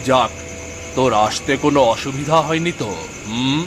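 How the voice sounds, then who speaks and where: Protective, gently scolding father, acted by a man. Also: mid-range voice, moderate pace, noisy background, lightly acted. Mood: concerned, chiding. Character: protective, worried father of a grown son. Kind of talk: cartoon story dialogue.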